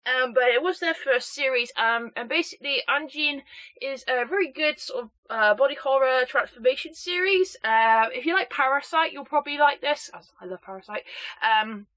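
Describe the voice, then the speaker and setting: A woman with a snuffly cold, chatting excitably and rambling through an unboxing review. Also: high-pitched, normal speed. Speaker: anime box reviewer.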